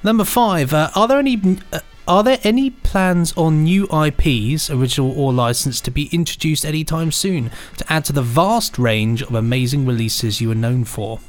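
Male co-host, British, lighter higher pitch, informal jokey delivery for podcast chat and listener mail. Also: moderate pace, hardly any pauses, faint music behind. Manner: jokey, chatty. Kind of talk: casual chat, reading out listener questions.

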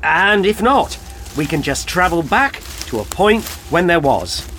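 An actor playing a curious, sceptical young space traveller in an audio drama, with a wry, questioning manner. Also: male voice, on the high side, big pitch swings, unhurried, sharp tone, a little hiss or hum, full character voice. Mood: wry, matter-of-fact.

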